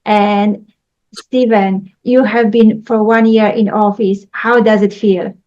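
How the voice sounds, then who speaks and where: A woman's voice: medium pitch, level tone, takes it slowly, a little mellow. A woman from Poland whose first language is Polish, hosting a podcast interview politely and formally. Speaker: podcast host. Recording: dull lo-fi sound.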